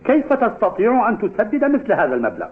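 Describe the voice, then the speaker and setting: An actor playing a gruff, stern middle-aged father who runs a restaurant, blunt and scolding, in a dubbed cartoon. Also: sits high, wildly swooping pitch, fast talker, slight background noise. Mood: scolding, dismissive. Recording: tinny band-limited audio with music behind.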